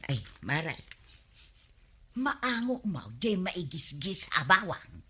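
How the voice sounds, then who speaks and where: A woman's voice: on the low side, very animated, slow, bright, forceful tone, breathy edge, heavily acted. A woman playing a bossy, money-grabbing village wife in an Ilocano comedy radio drama, demanding and gossipy. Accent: Filipino, Ilocano.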